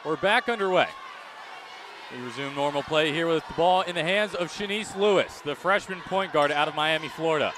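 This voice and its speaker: A young man calling live basketball play-by-play for radio in a projected, excited manner, recorded in an arena. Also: fairly high pitch, brisk, crowd noise. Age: young adult. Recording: gym noise behind radio commentary. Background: court noise, bouncing balls.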